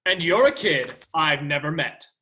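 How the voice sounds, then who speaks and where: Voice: mid-range voice, animated, normal speed, sharp tone. An actor playing a vain, pompous male superhero who admires his own looks. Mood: dismissive. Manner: pompous, preening.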